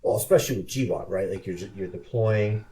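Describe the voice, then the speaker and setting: Deep, mellow-voiced man in his fifties. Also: brisk. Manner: relaxed, repeats words while thinking. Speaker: interview guest, retired Army officer. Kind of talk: casual interview over a call.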